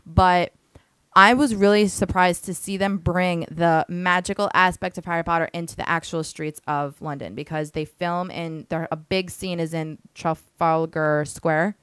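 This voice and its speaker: A young woman co-hosting a casual chat, teasing and quick in her back-and-forth. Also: lowish voice, steady tone, a bit bright, clear, resonant tone, no room sound. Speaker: co-host. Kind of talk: casual banter.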